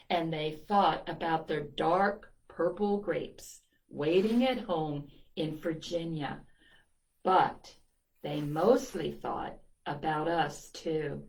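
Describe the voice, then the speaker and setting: A woman's voice: lowish voice, darkish tone, low-quality recording. A woman reading a picture book aloud to children, telling it expressively and adding little asides to her young listeners. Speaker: children's storytime reader.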